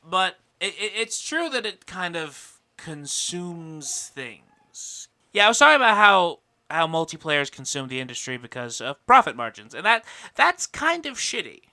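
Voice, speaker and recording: A man's voice: a little high, very animated, measured pace, sharp tone. A man hosting a podcast with casual, opinionated talk, given to swearing and mock indignation. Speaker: podcast host.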